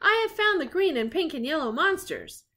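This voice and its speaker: A woman's voice: very high voice, sing-song, normal speed, sharp tone, pitched above the performer's own voice, heavily acted. A woman voicing a pompous, scatterbrained male detective who makes grand proclamations in a children's storybook. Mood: excited discovery. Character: pompous, scatterbrained male detective puppet character, proudly self-important. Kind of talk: children's storybook read-aloud.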